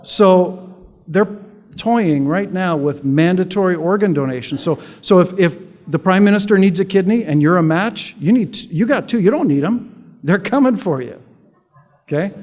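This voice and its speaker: A man's voice: high-pitched, lively intonation, moderate pace, darkish tone, in a large hall. A Canadian-born man giving a sarcastic, conversational political speech to an audience, often restarting with repeated words. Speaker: public speaker.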